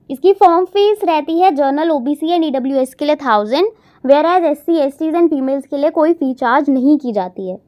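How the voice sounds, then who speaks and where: High-pitched young Indian woman explaining. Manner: direct, encouraging address to students. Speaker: study vlogger presenting job updates. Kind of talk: informational explainer to viewers.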